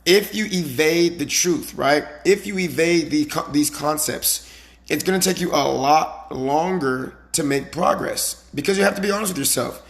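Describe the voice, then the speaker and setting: A male fitness coach speaks casually to his live-stream followers in a somewhat high, raspy voice that sounds a little hoarse. He is emphatic and motivational, repeating his points and checking in with short rhetorical questions. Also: normal speed. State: slightly hoarse from the previous day.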